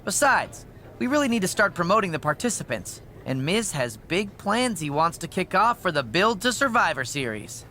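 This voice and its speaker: A man's voice: high voice, sing-song, moderate pace, sharp tone, slight background noise, full character voice. A man playing an officious, pushy messenger for a boss in a scripted game cutscene. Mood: officious, pressing.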